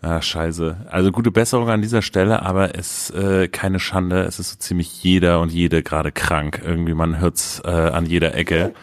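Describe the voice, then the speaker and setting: A man in his thirties with a cold, co-hosting a podcast in a relaxed, rambling way. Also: low voice, normal speed, hardly any pauses, no room sound, clean studio sound. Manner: relaxed, rambling with many fillers. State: has a cold. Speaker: podcast co-host. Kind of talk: casual podcast chat.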